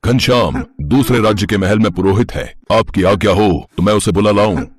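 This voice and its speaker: A loyal commander reporting to his king in an animated tale, voiced by a man. Respectful but urgent, his voice very deep and husky. Dry sound. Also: normal speed, no echo, faint music behind. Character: dutiful male army commander.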